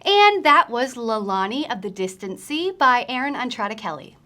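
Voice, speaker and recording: A woman's voice: fairly high pitch, very animated, moderate pace, background noise. A woman hosting a book recommendation video, speaking in a scripted presenter style to viewers. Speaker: host of a book recommendation series.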